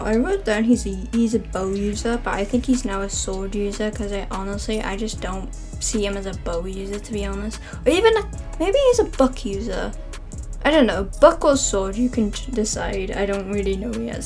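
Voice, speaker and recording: A woman's voice: medium pitch, darkish tone. A young woman from Wales, chatting casually as a channel host, rambling with many fillers and self-corrections. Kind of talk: casual chatty vlog narration.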